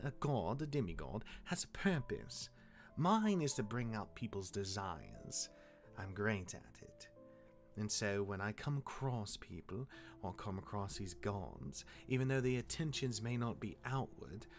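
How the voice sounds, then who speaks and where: An actor playing a cocky, jaded demigod of misfortune mentoring his younger brother in a fantasy drama, smooth-talking and wry, with dramatic turns. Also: on the low side. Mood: casual, boastful. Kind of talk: character acting in fantasy audio drama.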